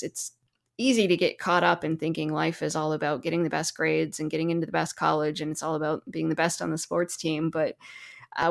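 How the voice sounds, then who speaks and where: A woman's voice: on the low side, gravelly. A woman speaking as a therapist guest in an interview, explaining advice conversationally and illustrating it with example quotes.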